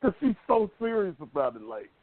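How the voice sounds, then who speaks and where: A man's voice: high voice, big pitch swings, brisk, no echo, narrow, tinny audio. A man with an American accent hosting a talk show, chatting casually in informal slang. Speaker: radio show host. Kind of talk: casual talk radio chat.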